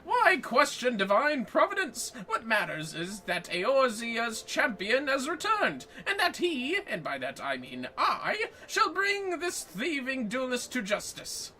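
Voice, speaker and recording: A woman voicing a self-important gentleman inspector from a video game, declaiming in grand, florid, theatrical exclamations. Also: sits high, huge pitch swings, a little slow, few pauses, pitched above the performer's own voice, full character voice. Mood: indignant bombast.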